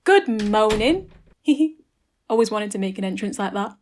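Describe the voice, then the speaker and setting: A woman's voice: medium pitch, very animated, unhurried, darkish tone, slight background noise. Woman playing a breezy, self-satisfied inspector. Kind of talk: hobby comedy murder-mystery audio drama.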